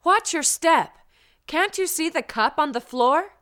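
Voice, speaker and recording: A woman's voice: high voice, animated, unhurried, a bit bright. A woman reading short practice sentences for language learners, carefully enunciated. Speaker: language teacher. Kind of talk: slow drill sentences for learners.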